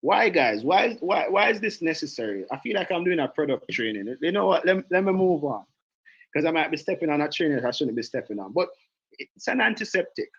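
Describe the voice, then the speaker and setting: A man's voice: fairly high pitch, big pitch swings, brisk, a bit bright, husky, highs cut off. A man from Jamaica with a Jamaican accent, leading a chatty sales training on an online call, often repeating himself and drifting off topic. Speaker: sales team leader giving a training.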